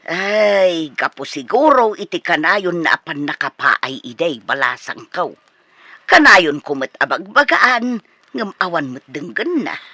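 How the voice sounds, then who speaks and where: A woman's voice: fairly low pitch, very animated, unhurried, bright, forceful tone, no echo, full character voice. A woman playing a nosy, doting older Filipino mother in a comedic radio drama, teasing and easily startled. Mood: explaining.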